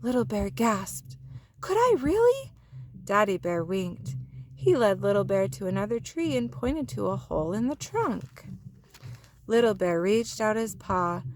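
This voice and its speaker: A woman narrating a children's picture book aloud in an expressive storytelling style. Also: mid-range voice, unhurried, a little hiss or hum.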